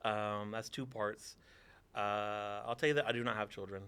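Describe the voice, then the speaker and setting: A man's voice: lowish voice, fairly even intonation, moderate pace, bright, forceful tone, clear, resonant tone. A man in his forties from Texas, a theater teacher answering interview questions with dry, teasing humor.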